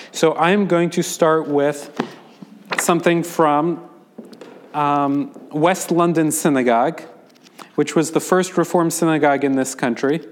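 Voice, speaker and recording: An American rabbi speaks in a slightly higher than usual male voice. He lectures informally, stopping for ums and restarts as he explains. He sounds conversational, and he answers questions from his listeners. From United States. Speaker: rabbi teaching a class.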